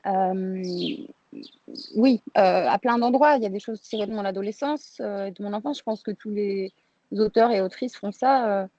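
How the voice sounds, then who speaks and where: A woman's voice: mid-range voice, moderate pace, warm tone. A woman from France speaking as an interviewed film director, reflective and informal, thinking aloud and rephrasing as she goes, on slightly lo-fi audio.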